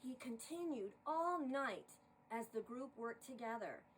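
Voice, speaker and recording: A woman with a high voice reads rhyming verse as a dramatic storyteller. She takes her time and swings her pitch widely. The mic sounds a little distant, with faint noise. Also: a little slow. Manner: dramatic, expressive. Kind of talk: theatrical verse narration.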